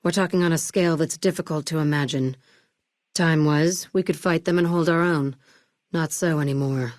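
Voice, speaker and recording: An actress playing a cool, ruthless businesswoman in a video game, speaking in a curt, measured, condescending way. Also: deep voice, fairly even intonation, darkish tone, no echo, clean studio sound, close to an everyday voice. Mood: measured, disdainful. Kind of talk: video game character dialogue.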